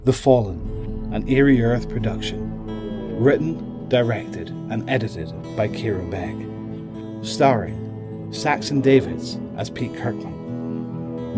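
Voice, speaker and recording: Male narrator for audio drama: scripted, dramatic storytelling, medium pitch, prominent score underneath. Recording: dramatic music bed behind voice.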